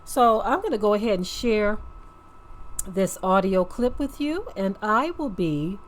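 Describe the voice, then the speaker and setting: A woman's voice: medium pitch, expressive, unhurried, a little hiss or hum. A woman hosting a channel, giving informal commentary in a grave, emphatic way and repeating words for weight. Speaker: channel host. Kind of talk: informal talk to camera, commentary.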